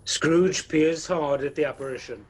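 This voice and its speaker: A man's voice: fairly high pitch, measured pace, darkish tone. A man acting as narrator of a radio play, telling a ghost tale in a dramatic, wry storytelling manner.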